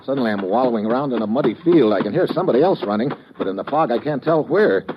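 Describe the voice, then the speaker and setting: A man's voice: mid-range voice, expressive, fast, background noise, close to an everyday voice. An actor playing a tough, wry undercover agent who narrates his adventure tersely in 1950s radio style. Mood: tense, terse. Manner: terse, hard-boiled, wry. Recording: dull vintage radio recording, music underneath.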